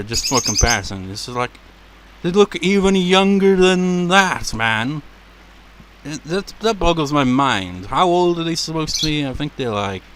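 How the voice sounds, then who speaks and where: A man with a deep voice giving casual, rambling commentary as a let's play host, laughing and swearing as he goes. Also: fairly bright tone, a little hiss or hum. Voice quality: naturally deep voice.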